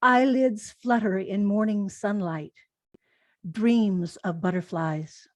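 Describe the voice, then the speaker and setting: A woman reads short poems at a slow, measured pace, leaving space between phrases. Her voice sits at a middle pitch, and the sound is close and dry. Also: no echo. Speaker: haiku poet. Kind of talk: poetry reading.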